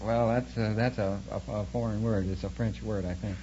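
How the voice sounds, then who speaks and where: Young male contestant from Montana, slightly low voice, earnest and flustered, dull old radio recording with plenty of noise. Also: fairly even intonation, moderate pace. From Montana, United States. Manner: hesitant, flustered, earnest, repeating himself. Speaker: quiz show contestant. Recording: dull, noisy vintage radio broadcast.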